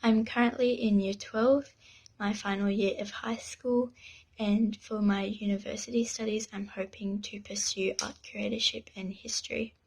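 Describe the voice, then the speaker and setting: A seventeen-year-old girl introducing herself on a youth panel, relaxed and breathy. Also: mid-range voice, normal speed, poor audio. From French-Canadian, living in Australia. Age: teens. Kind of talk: scripted self-introduction.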